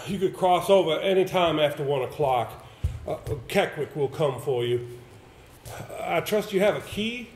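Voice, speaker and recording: Stage drama: male actor as haunted, evasive, polite gentleman, halting delivery, roomy recording with faint noise. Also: a little high, lively intonation, lightly acted. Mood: tense, formal. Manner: halting, stammering, formal. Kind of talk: stage play acting. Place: stage in a theatre.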